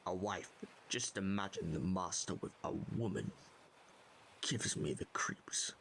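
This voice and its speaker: A man playing a formal, old-fashioned military Brigadier in an audio drama, with a dry, measured manner. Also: sits low, creaky, a bit bright, a little hiss or hum, heavily acted. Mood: surprised, amused.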